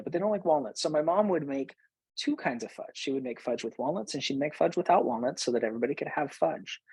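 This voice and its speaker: Husky man hosting a coaching show. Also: fairly high pitch, darkish tone, dry recording, low-quality recording. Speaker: host, business coach. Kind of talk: personal storytelling to listeners.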